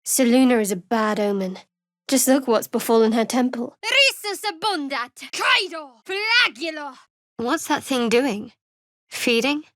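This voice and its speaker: Fantasy game role: wry, guarded young priestess of a dark goddess, lightly high voice, cool delivery, very dry recording. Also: female voice, sing-song, measured pace, no echo, full character voice. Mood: chanting spells. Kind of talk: fantasy video game voice acting.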